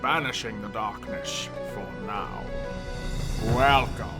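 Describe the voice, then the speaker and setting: A man's voice: lowish voice, takes it slowly. A man narrating a fantasy saga in a grand, portentous, dramatic style. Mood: solemn, grand. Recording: voice under loud music.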